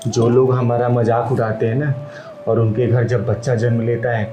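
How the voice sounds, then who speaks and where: A man's voice: medium pitch, lightly acted. A grieving transgender elder pleads for dignity, earnest and preachy, as a man acts her out. The voice sits in a middle register. The melodramatic web drama scene carries a roomy echo with faint music underneath. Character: aggrieved wise transgender elder.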